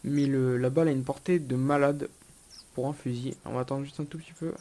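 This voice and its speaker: A man's voice: mid-range voice, fairly even intonation, fairly quick, dark tone. A young man with a cold, giving a casual gameplay tutorial with many hesitations and restarts. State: has a cold. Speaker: gaming YouTuber.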